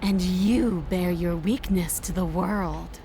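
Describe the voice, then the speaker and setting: An actress playing a monstrous, predatory beast princess in a video game, speaking with slow, deliberate menace. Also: female voice, lowish voice, steady tone, noisy background. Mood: taunting.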